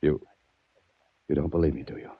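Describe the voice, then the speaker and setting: A man's voice: a bass voice, brisk, slightly rough, dry recording. An American actor playing a hunted innocent man on the run in a 1940s radio mystery, terse and desperate, with clipped hard-boiled delivery. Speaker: lead actor and first-person narrator. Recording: muffled, noisy 1940s radio recording.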